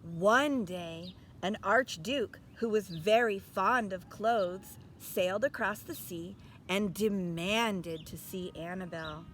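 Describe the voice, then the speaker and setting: A woman's voice: medium pitch, slow, few pauses, fairly bright tone, a little hiss or hum. A woman reading a storybook aloud expressively as a teacher, stopping to put instructive questions to young listeners.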